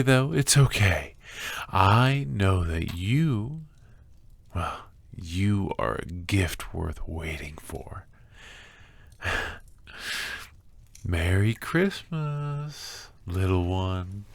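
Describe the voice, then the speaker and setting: A man playing an obsessive, menacingly tender captor in an ASMR roleplay, speaking softly and intimately, often slipping into whispers. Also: low-pitched, gravelly, slightly rough, dry recording, heavily acted. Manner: soft, intimate, drawn-out, often dropping to whispers.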